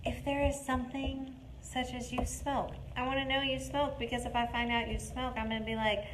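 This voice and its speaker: A woman's voice: sits high, level tone, moderate pace, noisy background. A woman in her late twenties co-hosting a dating advice show, talking in a blunt, slangy, casual way. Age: 20s. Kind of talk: casual chat.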